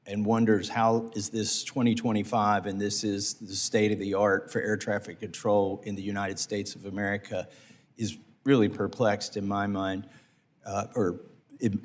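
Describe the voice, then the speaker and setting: A congressman questioning witnesses at a committee hearing, halting with restarts and fillers. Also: fairly low pitch, long reverb tail. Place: hearing room.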